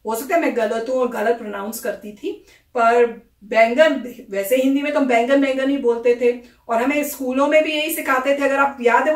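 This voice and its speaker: A woman from India chatting casually about a video in an animated, storytelling way. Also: sits high. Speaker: reaction vlogger. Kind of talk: casual chat reacting to a video. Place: US home. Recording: echoey room, far from the mic.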